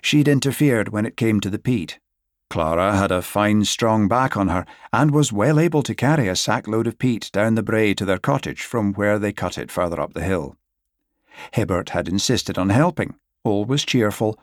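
A man narrates literary fiction in a lowish voice. The recording is dry and close, with very little room around the words, as suits an audiobook. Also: no echo.

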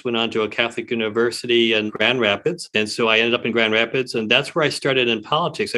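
A man's voice: medium pitch, pushed and bright. A man in his sixties from Detroit talks about his long career as a pollster in a radio interview. His delivery is chatty and rambling, with a mid-range voice and chuckles now and then. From Detroit, Michigan. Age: 60s. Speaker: pollster, guest.

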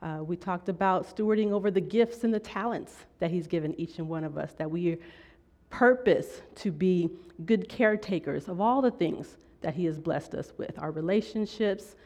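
A woman preacher giving a conversational sermon in an earnest, measured way, often checking in with her listeners. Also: fairly low pitch, mellow. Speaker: preacher teaching a church series.

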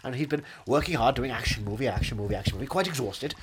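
A man's voice: medium pitch, lively intonation, quick pace, noisy background. A man improvising a rambling, mock-pompous persona who keeps calling everything wonderful. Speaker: comedic improviser in character.

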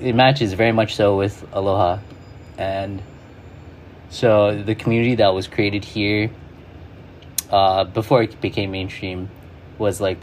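A man's voice: sits low, slight background noise. A man, a guest in an interview, reflecting in a loose way full of "like" and "you know". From Hawaii.

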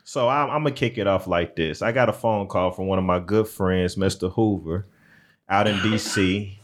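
A man co-hosting a podcast in casual chat, talking in a laid-back, drawn-out way. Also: deep voice, slight background noise. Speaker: podcast co-host.

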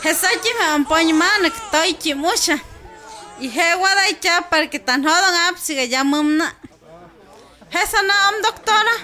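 A woman's voice: high-pitched, pushed and bright. A woman playing a new mother who puts questions to her doctor in a health radio drama.

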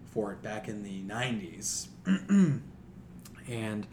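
A man's voice: fairly low pitch, big pitch swings, slow pace, noisy background. A man co-hosting a podcast in casual chat, opinionated, slangy and given to swearing. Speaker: podcast co-host.

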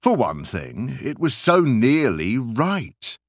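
A man narrating literary essays as an audiobook, with expressive, deliberate and theatrical phrasing. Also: mid-range voice, no echo, clean studio sound.

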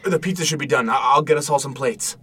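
Man playing gentle hesitant dad, slightly distant. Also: medium pitch, quick pace, close to an everyday voice. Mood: reassuring. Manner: hesitant, soft-spoken, stammering when worked up. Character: gentle, eager, protective father of a withdrawn young boy. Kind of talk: naturalistic audio drama acting.

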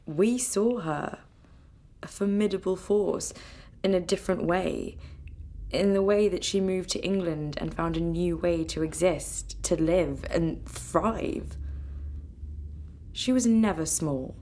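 An actress playing a grieving granddaughter in a naturalistic radio drama, tender and firm, speaking in a measured, earnest way. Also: female voice, lowish voice, dark tone. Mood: firm, reflective.

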